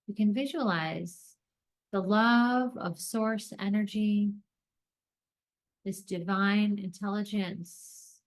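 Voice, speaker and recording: A woman leading a guided meditation, speaking gently in deliberate short phrases with long pauses between them. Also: medium pitch.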